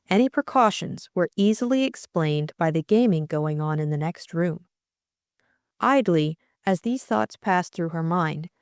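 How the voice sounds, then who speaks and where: A woman's voice: on the low side, a little mellow, no room sound, studio quality. A woman narrating a classic mystery novel as a scripted audiobook reading.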